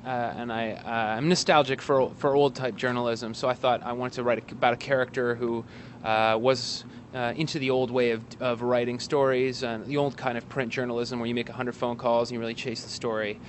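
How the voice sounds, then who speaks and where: A man giving a casual interview as a guest, speaking in a hesitant, halting way with wry asides, outdoors in an alley. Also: mid-range voice, little pitch movement. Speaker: guest, actor and playwright. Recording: street noise, traffic outdoors.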